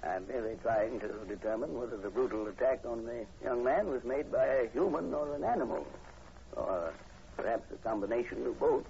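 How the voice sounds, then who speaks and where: An actor playing an earnest university professor, measured and polite with hesitant pauses, in 1940s radio horror style. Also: male voice, mid-range voice, animated, vocal fry, dark tone. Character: earnest, courteous university professor investigating werewolves. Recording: dull, noisy old radio broadcast recording.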